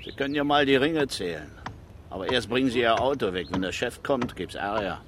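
An actor playing a terse, folksy East German forestry worker, gruff and fond of teasing, in a 1970s radio crime drama. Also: male voice, mid-range voice, lively intonation, fairly quick, natural voice. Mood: gruff, matter-of-fact. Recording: clearly noisy old radio play recording.

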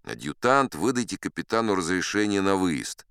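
A man's voice: low-pitched, big pitch swings, moderate pace, a bit bright, clear, resonant tone, no echo, studio quality, exaggerated voice. A man voicing a huge, pompous and flustered male governor in an audiobook, with a bossy, officious manner. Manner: bureaucratic, officious. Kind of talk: audiobook character acting.

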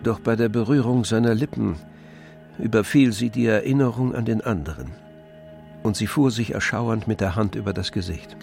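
A man narrating a literary radio drama in a measured, composed storytelling manner. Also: fairly low pitch, vocal fry, a little mellow, faint music behind. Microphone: close, dry studio microphone.

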